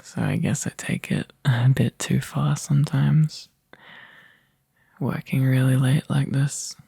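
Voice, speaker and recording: A man playing a tired, overworked young boyfriend in a soft, intimate ASMR roleplay, halting and breathy, often dropping into a whisper close to the mic. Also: medium pitch, fairly even intonation, dry recording, heavily acted. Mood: weary, apologetic. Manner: halting, drowsy, sighing delivery. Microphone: close microphone, dry.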